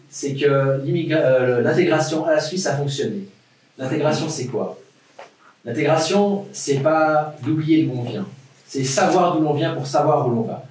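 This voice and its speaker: A man's voice: a little high, darkish tone. Man, formal welcome speech, echoey hall, distant mic. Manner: hesitant repetitions, rhetorical questions. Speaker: local official giving welcome speech. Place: large hall at an event.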